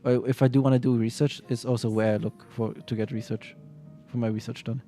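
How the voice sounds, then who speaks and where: A man's voice: mid-range voice, level tone, a little fast, mellow, faint music behind. A man streaming and chatting casually about a game, rambling with frequent restarts and self-corrections.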